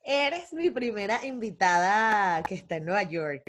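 A woman's voice: medium pitch, big pitch swings, measured pace, over loud music. A woman hosting a podcast, talking with eager enthusiasm and lots of fillers. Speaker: podcast host. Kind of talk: casual podcast chat.

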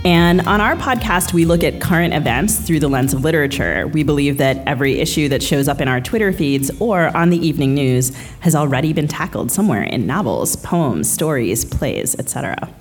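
A woman's voice: deep voice, lively intonation, brisk, few pauses, soft background music. A woman co-hosting a live podcast on stage, introducing the show and its guest. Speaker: podcast co-host. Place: live book fair stage.

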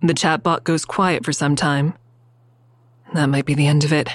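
A woman's voice: low voice, fairly even intonation, normal speed. A woman playing a wary, dry-witted investigator who narrates her own story in an audio drama, guarded and understated. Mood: uneasy, reflective. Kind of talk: first-person audio drama narration and dialogue.

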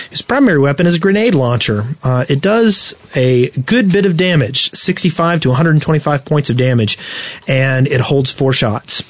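A man's voice: fairly high pitch, dry recording. Guy on a gaming podcast walking thru info, chatty asides, close dry sound. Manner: explanatory, reading out facts and adding asides. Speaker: podcast co-host. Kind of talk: casual gaming podcast talk.

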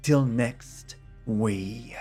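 A man's voice: mid-range voice, drawn-out words, mellow, faint music behind. A man hosting a story podcast, signing off in a chatty, grateful manner as he thanks his supporters. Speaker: podcast host. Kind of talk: casual podcast sign-off.

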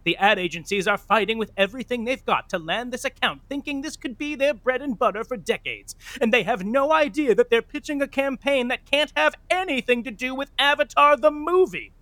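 Flamboyant male narrator, audio comedy: bright, dry close sound, dramatic and arch. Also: extremely high pitch, lively intonation, fairly quick, few pauses, dry recording, heavily acted. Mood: arch, excited. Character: flamboyant, gushing male narrator of a Broadway saga. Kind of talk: theatrical comic narration.

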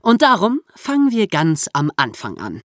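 Podcast host explaining a story, lively up and down voice, really dry recording. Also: mid-range voice, moderate pace, no room sound. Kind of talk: scripted narration with conversational asides.

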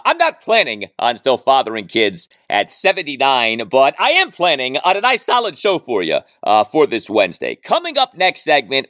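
A man's voice: fairly low pitch, a bit bright, no echo, clean studio sound. A man hosting a solo sports podcast, talking in an emphatic, dramatic way with rhetorical repeats. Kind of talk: solo sports talk monologue.

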